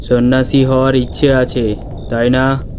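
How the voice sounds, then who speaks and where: A man voicing a calm old wandering holy man in a Bengali ghost story, with a solemn, weighty, deliberate delivery. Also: medium pitch, slight background noise, lightly acted. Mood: solemn, knowing.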